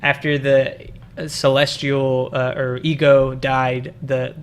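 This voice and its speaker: A man's voice: mid-range voice, little pitch movement, slow pace, clean tone. A young man, co-hosting a casual movie review, who talks in a hesitant, rambling way and often rephrases himself. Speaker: film review co-host. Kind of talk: casual unscripted movie chat.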